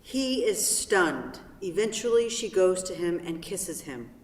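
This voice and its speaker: A narrator reads scripted stage directions at a middling pitch. The voice is distant, with strong echo from a theatre hall. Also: lively intonation, measured pace.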